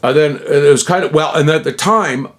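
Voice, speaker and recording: A man's voice: fairly high pitch, normal speed. Rambling older man telling a story. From Utah. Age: 60s. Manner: digressive, self-interrupting, chuckling; tosses in slangy asides. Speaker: vlogger.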